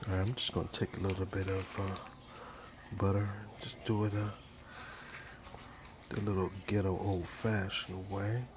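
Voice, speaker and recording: A man hosting a cooking ASMR video in a casual, playful, soft-spoken way, often slipping toward a whisper and repeating his phrases. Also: low-pitched, flat delivery, a little slow, pauses often. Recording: clear sizzling and frying noise. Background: food sizzling, kitchen stirring sounds.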